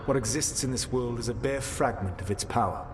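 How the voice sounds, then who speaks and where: A man playing an ancient imprisoned elven rebel in a video game, solemn and calculating, speaking in measured, formal, eloquent phrases. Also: medium pitch, background noise, close to an everyday voice. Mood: grave, explanatory. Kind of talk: video game cutscene acting.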